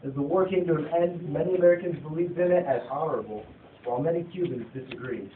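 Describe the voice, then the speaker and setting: A young man reads a scripted narration with occasional stumbles over words. His voice is a little high, and it comes through far from the microphone with clear background noise. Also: quick pace. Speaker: narrator of a student puppet show. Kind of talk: scripted narration read aloud. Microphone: phone from across the room. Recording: tinny phone recording, distant, echoey, noisy.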